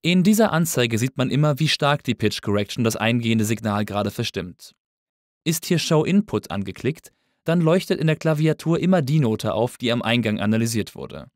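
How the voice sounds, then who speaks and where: A man narrating a scripted tutorial as an instructor, explaining things clearly and methodically. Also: mid-range voice, expressive, normal speed, dry recording, clean studio sound. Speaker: audio engineering instructor.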